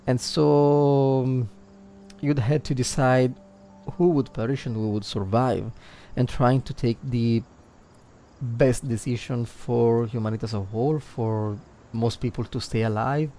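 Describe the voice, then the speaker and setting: Slow-spoken Italian man, hesitant, dark-toned. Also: medium pitch, fairly even intonation. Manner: hesitant, searching for words, reflective. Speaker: game livestreamer. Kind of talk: casual livestream commentary, thinking aloud.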